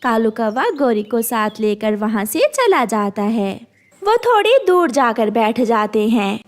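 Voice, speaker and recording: A woman's voice: fairly high pitch, big pitch swings, unhurried. A woman narrating a scripted children's story.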